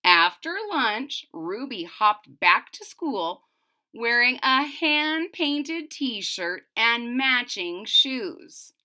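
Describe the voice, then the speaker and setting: A woman reads a children's story aloud in a high, bright voice. She takes her time and moves her pitch around expressively, pausing to explain things to young listeners. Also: expressive. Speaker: storybook reader.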